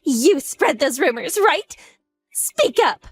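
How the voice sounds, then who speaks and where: A woman's voice: sits high, unhurried, no room sound, soft background music, pitched above the performer's own voice. A woman voicing a frantic young mother who is the husband's lover, shrieking and near tears. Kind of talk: audio drama acting.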